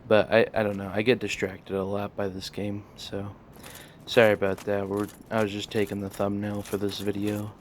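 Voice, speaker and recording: A man hosting a casual let's play, talking in a monotone and rambling with many fillers. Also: on the low side, moderate pace, slight background noise. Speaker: gaming channel host.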